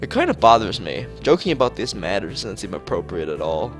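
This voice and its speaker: A man's voice: fairly low pitch, big pitch swings, a little fast, faint music behind. A man reading visual novel text aloud as a streamer, sometimes stumbling and rereading phrases.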